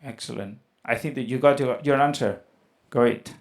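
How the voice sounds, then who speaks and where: A man hosting an online interview on a video call, soft-spoken and quick to laugh, asking questions and thanking his guest. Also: mid-range voice. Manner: soft-spoken, laughs often, short acknowledgments. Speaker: conference host, interviewer.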